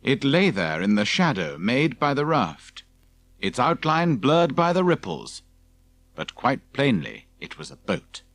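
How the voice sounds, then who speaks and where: A man's voice: medium pitch, very animated, a little slow, a bit bright, no room sound. A man reading scripted narration from a children's adventure story as an audiobook narrator.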